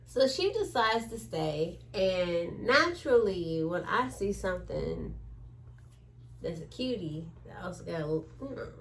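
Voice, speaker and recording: A woman's voice: on the low side, a little slow. A woman telling a story in a casual chat, acting out the quoted dialogue as she goes. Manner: animated retelling with acted-out quotes.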